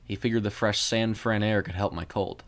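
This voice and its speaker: A man voicing a grieving, wistful sister in an audio story, speaking in a hesitant, halting way. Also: fairly low pitch, steady tone, close to an everyday voice. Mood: recalling, hesitant. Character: grieving young woman, wistful sister reminiscing.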